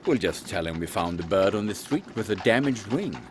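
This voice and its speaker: A man playing a pompous British army captain in a comic Victorian audio drama, gentlemanly and blustering. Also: on the low side, expressive, a little fast, a little hiss or hum, lightly acted. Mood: breezy, unruffled.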